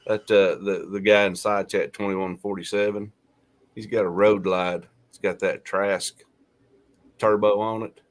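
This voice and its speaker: A man's voice: on the low side, little pitch movement, a little slow. A man talking bluntly in a casual chat.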